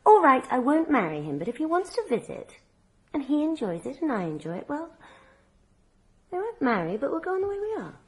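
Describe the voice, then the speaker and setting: High, animated teenage girl. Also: fairly quick. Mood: defiant, pleading. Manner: playful, impetuous, pleading with her mother. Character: lively, wilful, teasing sixteen-year-old aristocratic girl. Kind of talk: radio drama acting.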